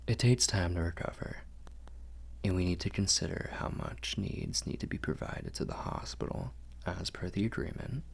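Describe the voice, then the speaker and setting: Casting: male actor as refined, protective vampire lord for ASMR roleplay; very low, breathy, courtly and soothing. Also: full character voice. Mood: calm, protective. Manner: slow, soothing, formal and courtly phrasing. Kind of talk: ASMR roleplay, intimate whispering and murmuring.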